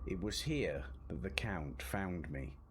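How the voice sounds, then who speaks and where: A man playing an earnest, polite Victorian English gentleman, narrating his travels formally in a radio drama. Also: low-pitched, animated, slow pace. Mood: puzzled, reflective. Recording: clear background ambience noise.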